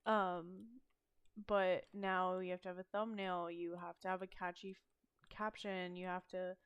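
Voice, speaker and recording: A young woman chatting about game updates on her channel, speaking in a self-described monotone with frequent ums and trailing asides. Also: fairly low pitch, unhurried, clean tone, highs cut off. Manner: monotone, hesitant with many ums. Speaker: gaming channel host. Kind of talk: casual game news chat.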